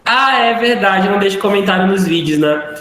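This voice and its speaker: Guy teacher rambling thru end of an online class, kinda high voice, super echoey room. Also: expressive, a little fast, a bit bright. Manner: rambling, chatty, self-deprecating. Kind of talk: live online class wrap-up. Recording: very echoey room, faint noise.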